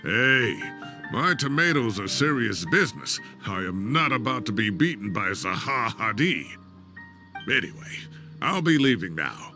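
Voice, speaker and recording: An actor playing a jovial, folksy elderly professor with a creaky voice and a theatrical, rambling manner in an anime game. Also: male voice, fairly low pitch, very animated, measured pace. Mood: proud, mock stern. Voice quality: creaky old-man voice. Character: elderly male professor, jovial, homely, proud gardener. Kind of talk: anime video game voice acting. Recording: music under the voice.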